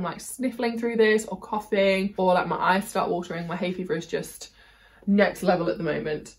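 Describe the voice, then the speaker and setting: Young British woman, twenties, bubbly and chatty, mid pitch, casual haul vlogger talking to the camera at home. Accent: British English. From United Kingdom. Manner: bubbly, chatty, lots of "like" and "kind of". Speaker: beauty and fashion vlogger.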